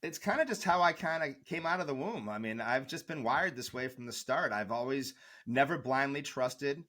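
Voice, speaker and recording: A man's voice: mid-range voice, expressive, normal speed, a bit bright. A man interviewed as a guest expert who speaks passionately and argumentatively, with many fillers. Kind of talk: interview answers.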